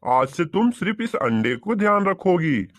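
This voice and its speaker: A man playing a commanding, excitable male crow husband in a children's cartoon, speaking emphatically. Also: high-pitched, moderate pace, no echo, clean studio sound. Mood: bossy. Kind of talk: Hindi children's cartoon dialogue.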